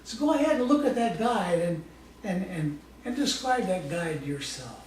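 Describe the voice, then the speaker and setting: A man's voice: sits high, lively intonation, moderate pace. A man leading a live guided imagery exercise in a soothing, coaxing, informal way, often repeating short phrases. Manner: folksy, informal wording. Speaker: guest presenter demonstrating guided imagery. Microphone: distant microphone. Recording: echoey room from far off, faint noise.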